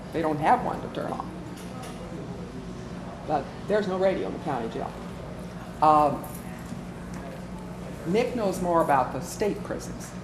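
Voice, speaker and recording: A woman giving an informal workshop talk as a volunteer presenter, conversational and inclined to tell stories. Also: lowish voice. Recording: echoey room with clear background noise.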